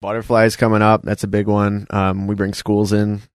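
A man's voice: lowish voice, monotone, moderate pace, clean tone, no room sound. Man, podcast guest, laid-back, laughs easily, dry close recording. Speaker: podcast guest, theater director. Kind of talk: casual podcast chat.

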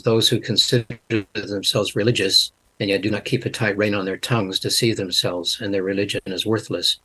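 An older man from Canada with a mixed international accent, speaking as a podcast guest and pausing often with hesitant fillers. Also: lowish voice, fairly bright tone, husky. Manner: hesitant, many uh pauses. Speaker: guest, linguistics teacher. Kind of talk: interview answers.

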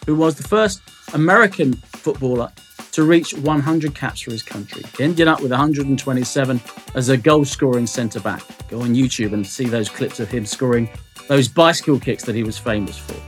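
A man's voice: medium pitch, brisk, faint music behind. A man hosting a podcast, reading a scripted intro and asking direct, probing interview questions.

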